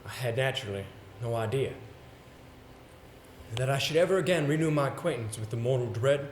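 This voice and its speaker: An actor playing a pompous, exasperated theatre coach in an amateur stage play, with a sarcastic, emphatic delivery. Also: male voice, mid-range voice, lively intonation, brisk, natural voice. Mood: measured storytelling. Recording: roomy stage recording with faint noise.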